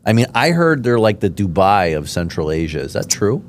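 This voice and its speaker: An American man hosting a podcast interview, chatty and animated, with many restarts. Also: lowish voice, brisk, slight background noise. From United States. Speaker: podcast host. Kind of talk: casual interview chat. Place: podcast studio.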